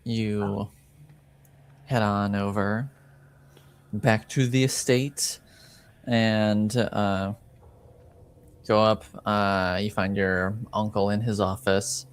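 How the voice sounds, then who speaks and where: A man's voice: lowish voice, little pitch movement, drawn-out words, pauses often, clear, resonant tone. A man narrating casually as game master of a tabletop roleplaying game.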